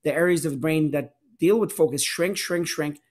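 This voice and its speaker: A man's voice: on the high side, normal speed, darkish tone. A man, a physician guest in a podcast interview, explaining earnestly and repeating words for emphasis. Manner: earnest, explanatory, with restarts and repetition.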